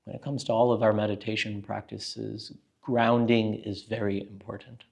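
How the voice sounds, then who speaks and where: A man leading a guided meditation as a teacher, speaking in a calm, gentle, unhurried way with long pauses. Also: on the low side, gravelly.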